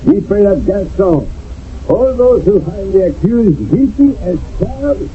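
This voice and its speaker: A man's voice: high-pitched, expressive, takes it slowly. An actor playing a stern, formal chairman of a wartime village court in a radio drama. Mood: solemn. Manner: formal, solemn, judicial. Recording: lo-fi old radio broadcast, faint noise.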